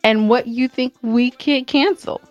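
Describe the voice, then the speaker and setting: A woman's voice: on the high side, steady tone, a little slow, no echo, lo-fi sound, faint music behind. A woman co-hosting a podcast with casual, joking banter and a playful, teasing manner. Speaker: podcast co-host.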